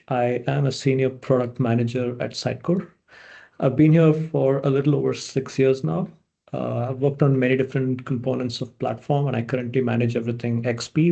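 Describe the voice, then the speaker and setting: A man from India, a product manager, giving a relaxed online presentation over a video call, with many fillers and restarts. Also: mid-range voice, vocal fry, breathy edge, highs cut off.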